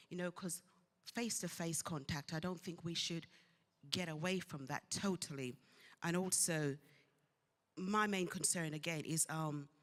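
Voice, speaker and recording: Low-voiced woman asking an earnest question. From England. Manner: earnest, concerned. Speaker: audience member asking a question. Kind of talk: question from the floor. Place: large conference hall. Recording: echoey conference hall.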